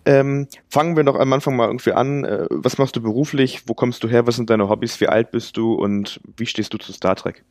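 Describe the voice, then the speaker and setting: A man hosting a podcast, asking questions in a relaxed, loose way with fillers. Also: medium pitch, fairly quick, hardly any pauses. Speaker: podcast host. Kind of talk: casual interview.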